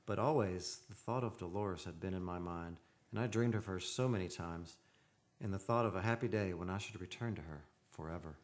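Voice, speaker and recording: An actor playing a haunted, lovelorn seafarer in a radio play, with theatrical, poetic delivery. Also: male voice, low-pitched, a little fast, natural voice. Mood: brooding. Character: Gunnar, lovelorn haunted seafaring man, brooding and desperate. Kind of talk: old-time radio drama acting. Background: music behind the voice.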